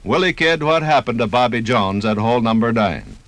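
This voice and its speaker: A man's voice: mid-range voice, lively intonation, a little fast, fairly bright tone, no echo. An American man narrating and interviewing in scripted 1950s radio style.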